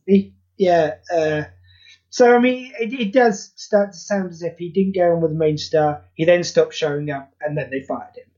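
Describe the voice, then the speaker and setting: A podcast guest chatting at a middle pitch, animated and expressive, often acting out what others said in quick put-on voices. The recording is a touch dull and lo-fi. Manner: animated, quotes others in acted voices, frequent fillers. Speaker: podcast guest, audio drama creator. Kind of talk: casual chat. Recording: slightly dull lo-fi audio.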